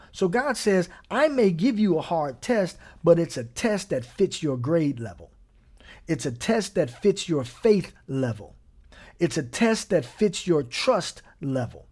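A man preaching a sermon in a slightly higher voice. He keeps a relaxed, conversational tone and checks in with his listeners often. Now and then he chuckles or sinks almost to a whisper. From Gary, Indiana.

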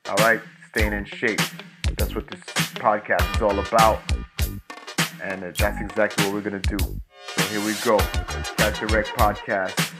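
Man hyping a podcast intro over loud beats. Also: fairly low pitch, level tone, normal speed. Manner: hype, sing-song delivery. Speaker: podcast host. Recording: loud music bed under voice.

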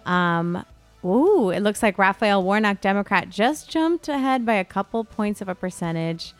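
A woman co-hosting a podcast, chatting casually and comedically in an animated, expressive way. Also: medium pitch, normal speed, faint music behind. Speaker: podcast co-host.

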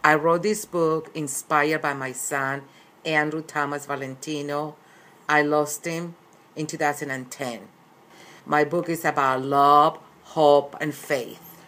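Interviewee: middle-aged woman, low voice, earnest and repetitive. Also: steady tone, takes it slowly, a bit bright. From Colombia. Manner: earnest, repeats phrases. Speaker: interviewed author. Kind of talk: recorded interview.

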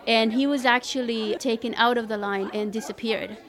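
A woman's voice: fairly high pitch, fairly even intonation, normal speed, fairly bright tone. A woman from El Salvador who moved to Canada as a child, talking conversationally in an interview as a community coordinator. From El Salvador, moved to Canada at eleven.

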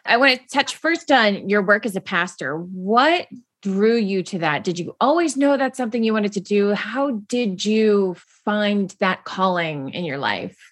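A woman's voice: mid-range voice, expressive, unhurried, a bit bright. A woman hosting a TV interview, delivering a polished scripted intro and asking friendly questions. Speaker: TV host.

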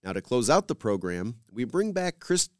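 A man's voice: mid-range voice, very animated, moderate pace, no echo, studio quality. A man hosting a radio program, reading scripted introductions and credits as a presenter.

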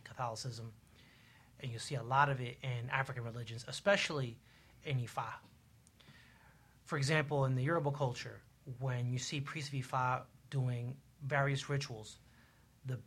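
A man on a talk show panel, explaining in a measured, didactic way with examples. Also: mid-range voice, fairly bright tone, a little hiss or hum.

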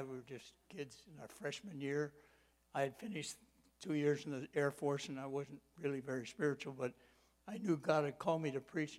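Older man preaching with slow emphasis. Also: on the high side. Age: 80s. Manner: emphatic, stretches key words slowly, drops to quiet asides; folksy personal storytelling. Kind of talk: sermon.

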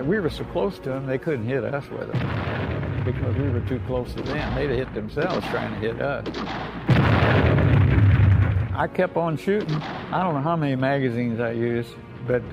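An older American man, a war veteran, telling his memories informally in a folksy, halting way with repeated phrases. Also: mid-range voice, gravelly, loud music behind the voice, poor audio. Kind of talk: informal oral-history storytelling.

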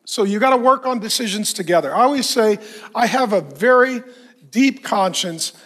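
A man preaching a sermon to a congregation, folksy and self-mocking with quick asides, while he is losing his voice and keeps clearing his throat. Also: high-pitched, expressive, a bit bright. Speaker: pastor. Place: church in front of a congregation.

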